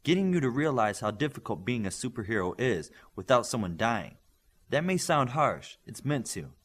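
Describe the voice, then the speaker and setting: Man acting a stern superhero coach, very animated pitch. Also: moderate pace, husky. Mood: grave, commanding. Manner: blunt, authoritative, commanding. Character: stern, demanding male superhero coach lecturing his young trainees. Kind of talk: audiobook character dialogue.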